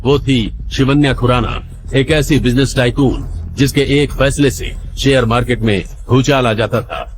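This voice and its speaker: A man's voice: mid-range voice, steady tone, moderate pace, noisy background. A man narrating a dramatic audio story in a scripted storytelling style. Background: background music.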